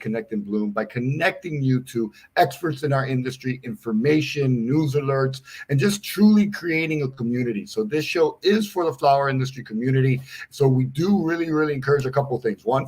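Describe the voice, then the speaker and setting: A man's voice: medium pitch, husky. A man hosting a livestream talk show, eagerly urging viewers to follow and ask questions. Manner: energetic, repetitive calls to engage. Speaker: show host.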